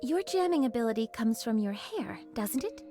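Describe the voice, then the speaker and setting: A woman voices a female squad leader in an anime game. She is high-pitched, earnest and full of hopeful resolve, speaking with a slightly formal polish over prominent background music. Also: lively intonation, moderate pace, mellow, natural voice. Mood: cheerful, curious. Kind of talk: scripted anime game voice acting.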